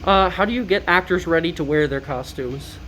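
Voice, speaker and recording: A man's voice: fairly high pitch, fairly quick, a bit bright, low-quality recording. A young man speaks as a student interviewer. He asks his questions in a casual way. There is faint noise behind his voice. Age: young adult.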